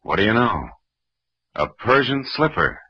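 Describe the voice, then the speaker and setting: An actor playing a wry, weary American private detective in 1940s radio noir style, deadpan and wisecracking. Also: male voice, lowish voice, a little slow. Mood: brisk, probing. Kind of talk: 1940s radio drama acting, first-person noir narration. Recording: muffled old radio recording, music behind.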